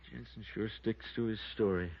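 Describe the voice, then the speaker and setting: Low-voiced small-town deputy sheriff. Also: male voice, vocal fry, exaggerated voice. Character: plain-spoken, cautious small-town male deputy sheriff, dryly teasing. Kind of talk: 1950s radio drama acting. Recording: muffled vintage radio broadcast.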